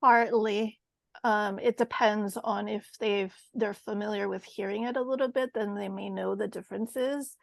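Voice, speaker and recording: Hesitant woman with a gravelly edge. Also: mid-range voice, fairly even intonation, normal speed, no room sound. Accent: American English with a Mandarin tinge. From Taiwan. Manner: hesitant, many ums and self-corrections. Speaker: course participant. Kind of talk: casual group chat on a video call.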